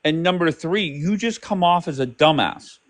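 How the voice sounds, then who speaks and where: A man's voice: a little high, animated, normal speed, no room sound. A man hosting a live panel casually, with a blunt, interrupting way of talking. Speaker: live stream host.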